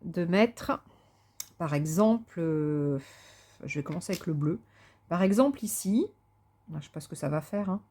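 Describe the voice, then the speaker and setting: A woman's voice: lowish voice, lively intonation, moderate pace, pauses often. A woman vlogger chatting casually while she crafts, thinking aloud with many fillers and restarts, enthusiastic and sometimes muttering to herself. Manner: enthusiastic about colours; talks to herself softly while working.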